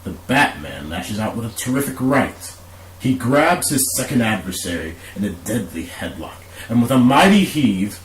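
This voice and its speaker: A man giving dramatic scripted narration as the narrator of a radio play. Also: lowish voice. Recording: roomy echo, faint hiss.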